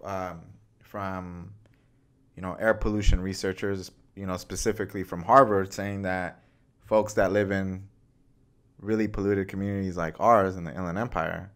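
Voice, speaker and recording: An American man co-hosting a conversational news podcast in a measured way, often checking in with his partner. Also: sits low, little pitch movement, fairly bright tone. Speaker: podcast host.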